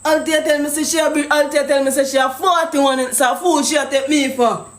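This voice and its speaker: High-pitched Jamaican man, pushy and accusing. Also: fairly even intonation, fairly quick, a bit bright, echoey room. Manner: pushy, accusing, patois-heavy. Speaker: prank caller. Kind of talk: prank phone call.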